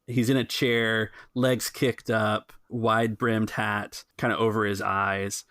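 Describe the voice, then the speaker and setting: Creaky-voiced man running a tabletop game. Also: fairly low pitch, little pitch movement, takes it slowly, a bit bright, no room sound, clean studio sound. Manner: conversational, improvising, thinking aloud. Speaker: game master. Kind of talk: casual tabletop roleplay narration and rules talk.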